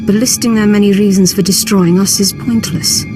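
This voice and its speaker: An actress playing an earnest, conspiratorial Sith cult leader in a video game, speaking in a measured, solemn way. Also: female voice, medium pitch, fairly even intonation, over music, lightly acted. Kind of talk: video game dialogue acting.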